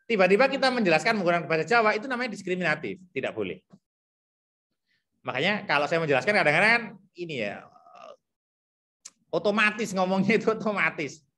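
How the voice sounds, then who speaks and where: A man with a thick Javanese accent explaining teacher competency criteria to colleagues, repeating himself and filling pauses as he thinks aloud. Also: sits high, very animated, pushed and bright. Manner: repetitive, thinks aloud with many fillers.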